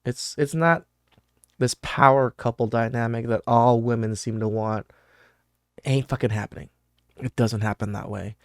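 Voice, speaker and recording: A man's voice: mid-range voice, steady tone, moderate pace, smooth, dry recording, studio quality. A man hosting a podcast, talking bluntly and casually as he reacts to clips, cussing freely and restarting his sentences. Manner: blunt and profane, with many restarts and fillers.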